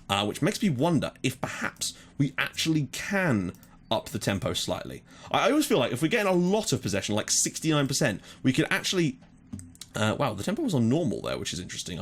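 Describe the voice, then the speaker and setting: A man casually commentating on his football management game. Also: mid-range voice. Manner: chatty, excitable during goals. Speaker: let's play host.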